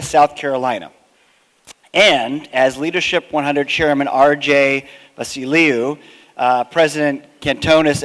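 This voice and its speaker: A man introducing a guest speaker at a conference, reading his prepared remarks in a measured, deliberate way from a podium. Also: a little high. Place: large hotel ballroom. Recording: long hall reverb.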